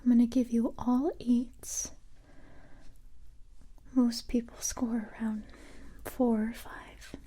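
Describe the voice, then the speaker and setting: A woman doing a soft-spoken, whispered ASMR roleplay as a calm, encouraging police recruiter, gentle and hesitant, close to the microphone. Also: fairly high pitch. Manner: gentle, hesitant, unhurried reassurance.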